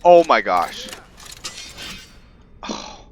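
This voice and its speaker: A man giving casual game commentary with roleplay lore, thinking aloud in a halting way. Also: mid-range voice, very animated, slight background noise. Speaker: gamer hosting a roleplay let's play.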